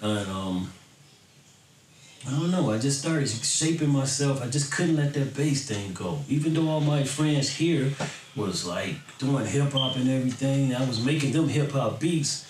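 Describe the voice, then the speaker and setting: A man's voice: medium pitch, lively intonation, unhurried, darkish tone. A middle-aged American man talking casually and earnestly to his fans, in an informal, rambling way. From Florida, then Michigan, United States. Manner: informal, earnest, rambling, often tagging "you know". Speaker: electronic bass music artist. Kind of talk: casual reflective talk to fans. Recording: roomy, distant mic, faint background noise.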